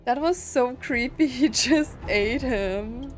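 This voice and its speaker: A woman's voice: high voice, slow pace, over music. A woman giving casual reaction commentary as she streams a video game. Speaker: gaming streamer.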